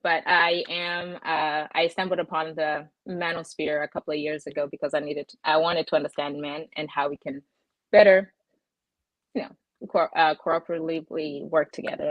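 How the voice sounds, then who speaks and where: Low-voiced Kenyan woman on a panel. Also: no echo, lo-fi sound. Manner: chatty, with many fillers. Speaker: guest panelist. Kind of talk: casual panel talk.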